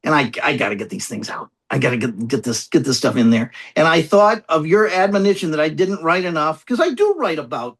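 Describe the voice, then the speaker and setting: A man speaking as a guest author in a conversational interview, reflective and halting, often circling back to repeat phrases. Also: on the high side, lively intonation, fairly bright tone, husky.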